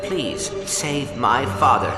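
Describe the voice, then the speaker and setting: An actor voicing a small, polite, earnest robot with precise formal diction in a game cutscene. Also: male voice, mid-range voice, very animated, takes it slowly. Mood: urgent, pleading. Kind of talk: animated video game cutscene acting. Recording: echoey hall under loud music.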